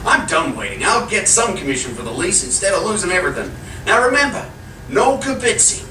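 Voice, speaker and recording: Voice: medium pitch, very animated, fairly quick, sharp tone. An actor playing a flustered young husband, stammering evasive excuses and placating people in a live radio comedy. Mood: exasperated. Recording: noisy, echoey live stage, distant mic.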